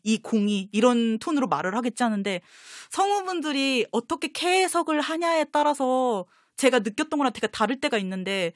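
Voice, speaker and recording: A woman with a fairly high voice, recorded close and dry. She talks as a guest in a casual fan chat, effusive and gushing as she tells her stories. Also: sing-song, moderate pace, few pauses, no room sound. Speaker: talk show guest, comics publisher designer and voice-drama fan.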